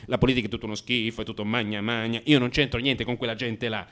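A man's voice: mid-range voice, flat delivery, quick pace, a bit bright. A man narrating a theatrical first-person radio drama monologue, dramatic and changeable in his delivery.

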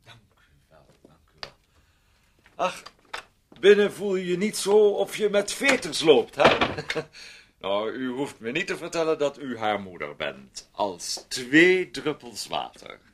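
An actor in a radio play voicing an ingratiating, meddling gentleman neighbour who wheedles and repeats himself with fussy politeness. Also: a little high, sing-song, slow, slight background noise.

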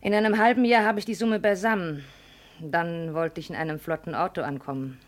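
An actress playing a proud, brisk and regretful daughter come home from the city in a 1950 radio play. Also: female voice, fairly low pitch, steady tone, lightly acted. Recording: vintage 1950 radio recording.

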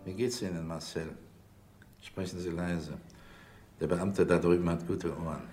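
Deep, slow, brooding investigator. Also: male voice, fairly even intonation, darkish tone, breathy edge, soft background music. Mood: hushed, urgent. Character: brooding, sceptical male investigator, calm and stubborn. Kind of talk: German radio crime drama acting.

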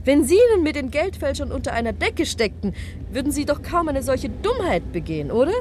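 A teasing young lady, voice jumping up and down, faint old-tape hiss. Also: high voice. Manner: teasing, lively, brisk. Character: young woman, teasing sharp-witted companion. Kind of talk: 1960s radio play acting.

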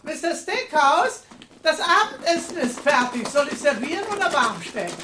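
A woman's voice: sits high, big pitch swings, moderate pace, sharp tone, slight background noise, heavily acted. A woman acting a polite, deferential housekeeper in a radio drama. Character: dutiful, motherly older housekeeper.

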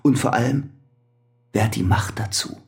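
An actor playing a weary, bitter prisoner in a radio drama. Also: male voice, mid-range voice, normal speed, vocal fry, natural voice. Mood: weary, defensive. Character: imprisoned man, weary and bitter. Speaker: prisoner Carter.

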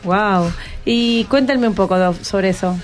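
A woman's voice: mid-range voice, unhurried, faint music behind. A woman with a Buenos Aires accent, hosting a casual radio interview. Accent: Buenos Aires Argentine.

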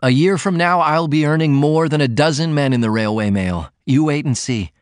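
A man's voice: on the high side, normal speed, dry recording, studio quality, voice pushed up, lightly acted. A man voicing a fervent young writer. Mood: confident. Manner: fervent, emphatic. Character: earnest, stubborn young male writer, passionate.

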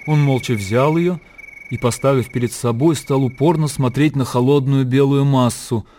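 A man narrating literary fiction for a radio drama in a measured, expressive storytelling manner. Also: mid-range voice, a little hiss or hum. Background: music.

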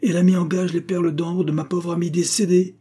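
A man voicing a haughty, vindictive woman in a story, sharp and indignant, quick to accuse. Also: fairly high pitch, breathy edge, voice pushed up. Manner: sharp, indignant, hard-toned.

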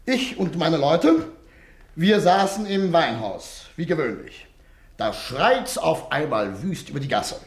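An actor playing a plain-spoken, blustery chief constable in a radio drama, reporting in colloquial storytelling fashion. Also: male voice, fairly high pitch, very animated, slow, exaggerated voice. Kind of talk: German radio drama acting. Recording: roomy echo on radio drama.

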